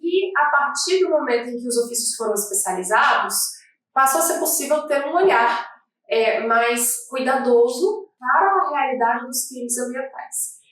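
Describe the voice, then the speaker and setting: A woman's voice: high-pitched, lively intonation, fairly bright tone. Woman speaking in an echoey room. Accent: Brazilian Portuguese, Rio de Janeiro. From Brazil. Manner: measured, thoughtful, with frequent restarts. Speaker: federal prosecutor. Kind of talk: oral history interview. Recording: echoey room, mic at a distance.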